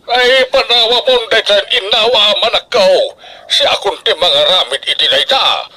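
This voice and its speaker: A man's voice: sits high, moderate pace, bright, forceful tone, rough recording. A man playing a stern but doting middle-aged farmer father in a radio drama, with an Ilocano Filipino accent and emphatic delivery. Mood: fond, bossy.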